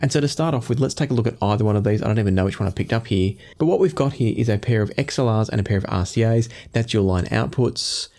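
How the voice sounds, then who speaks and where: A man in his forties presenting a product review to camera in a measured, explanatory way. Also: lowish voice, mellow. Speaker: audio gear reviewer and host. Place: small home studio room indoors.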